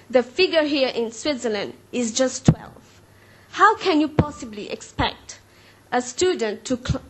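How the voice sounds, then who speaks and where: High-voiced young woman, earnest speaker. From Mauritius. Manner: earnest, asks the audience questions. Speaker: youth delegate. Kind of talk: prepared speech at a forum.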